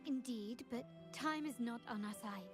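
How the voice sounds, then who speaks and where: A woman's voice: a little high, moderate pace, lightly acted. An actress playing a poised young noblewoman and family heir in a video game, formal, composed and resolute. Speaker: game character. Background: game music.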